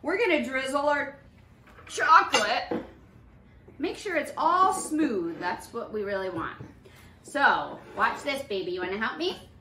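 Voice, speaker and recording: A woman's voice: high voice, lively intonation, measured pace, pushed and bright. A woman, giving a playful, sing-song cooking demonstration for young children. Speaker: preschool teacher hosting a children's cooking class. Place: small indoor playroom kitchen set. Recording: echoey room with some background noise.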